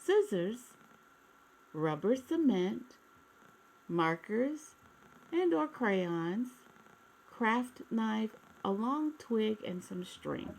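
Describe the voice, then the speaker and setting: Slow, creaky-voiced woman reading to children. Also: fairly high pitch, poor audio. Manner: expressive, addresses children directly with questions. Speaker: children's story reader. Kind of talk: read-aloud storytime for young children.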